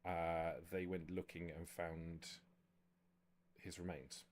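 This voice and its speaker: A very deep-voiced, cautious vampire retainer, played by a man at a tabletop game. He gives counsel formally and with care, in measured and halting phrases. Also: level tone, a little mellow. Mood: grave, careful.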